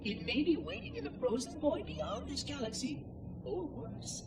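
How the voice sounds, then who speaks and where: An ancient machine caretaker from a video game, fussy and dutiful, in a high synthetic voice that swings widely in pitch. The phrasing is formal and exact, and it rings with echo. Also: male voice, wildly swooping pitch, moderate pace, very noisy, exaggerated voice. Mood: grave, musing. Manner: precise, formal, fussy, musing. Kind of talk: video game cutscene monologue. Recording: echoey, distant, over loud music. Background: music.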